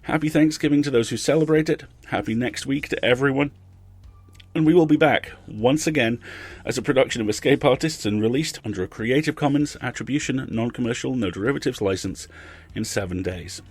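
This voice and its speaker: A man's voice: mid-range voice, fairly quick, no echo. A man hosting a podcast, speaking conversationally to listeners with emphatic phrasing. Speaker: podcast host. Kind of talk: podcast outro with donation appeal.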